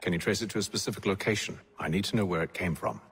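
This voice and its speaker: An actor playing a composed English gentleman in a video game, speaking formally and with measured authority. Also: sits low, vocal fry, breathy edge, exaggerated voice. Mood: businesslike. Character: composed, commanding English gentleman leading armed men. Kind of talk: video game cutscene acting. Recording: loud background music.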